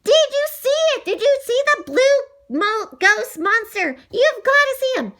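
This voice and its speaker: A woman voicing an eager young boy, the new kid, who pleads excitedly to be believed in a children's story. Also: very high-pitched, normal speed, voice pushed up, heavily acted. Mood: excited, insistent. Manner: excited, exclamatory.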